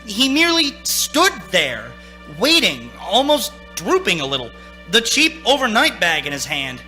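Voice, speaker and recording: A man's voice: high voice, wildly swooping pitch, unhurried, sharp tone, heavily acted, rough recording. An actor playing a haunted, anxious young man in a radio play, dramatic and nervously stammering. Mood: haunted, brooding. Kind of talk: radio play acting, narrating and in scenes. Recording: stage radio play with music.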